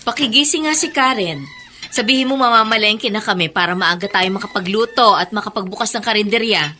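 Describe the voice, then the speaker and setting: A woman's voice: medium pitch, fairly quick, sharp tone. An actress playing an anxious, scolding mother in an emotional, urgent radio drama style. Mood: impatient scolding. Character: anxious, scolding mother running a small eatery.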